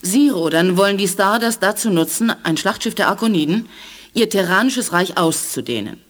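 An actress playing a proud alien commander, speaking in a crisp, formal, measured way in a radio play. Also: female voice, mid-range voice, lively intonation, close to an everyday voice. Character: proud alien woman commander, cool, negotiating. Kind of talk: 1980s radio play acting.

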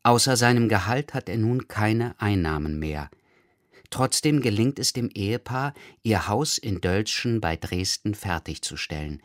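A man reading a scripted documentary introduction in a factual, measured way. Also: lowish voice, lively intonation, no echo, studio quality. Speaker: narrator.

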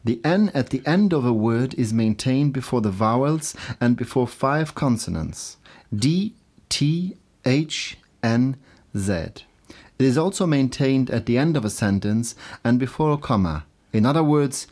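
A man teaching in a scripted language lesson, explaining didactically and enunciating examples slowly and deliberately. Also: medium pitch, expressive, a little mellow.

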